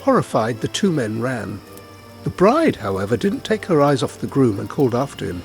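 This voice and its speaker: A man narrating a scripted folk tale in an expressive, theatrical manner. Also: medium pitch, moderate pace, vocal fry, soft background music. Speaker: storyteller narrator.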